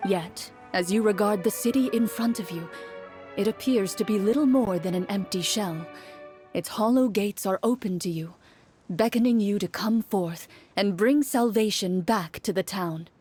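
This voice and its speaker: An actress playing a composed game master who narrates a tabletop adventure in a measured storytelling way. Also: female voice, medium pitch, darkish tone, faint music behind, natural voice. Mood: measured, evocative. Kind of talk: scripted narration.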